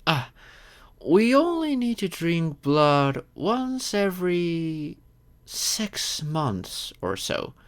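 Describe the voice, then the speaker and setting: Casting: male actor for a centuries-old gentleman vampire in audio roleplay, kind and courteous, unhurried delivery with widely moving pitch. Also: full character voice. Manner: gentle, reassuring, unhurried with thoughtful pauses. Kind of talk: scripted audio roleplay, addressing the listener.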